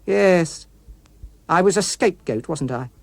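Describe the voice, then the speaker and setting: A man's voice: sits high, expressive, measured pace, gravelly, no room sound, voice pushed up, full character voice. A sinister, vengeful criminal in police uniform played by a man reading an audiobook. His voice is raised and swings sharply as he taunts and accuses. The sound is close and dry. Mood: accusing, menacing. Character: menacing rogue policeman, a vengeful criminal come to life. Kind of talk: dramatic audiobook character.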